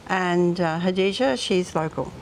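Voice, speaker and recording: A woman's voice: lowish voice, unhurried, background noise. A middle-aged Australian woman, co-owner of a guesthouse, chatting casually and rambling a little with plenty of ums. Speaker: hotel co-owner. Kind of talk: casual interview chat.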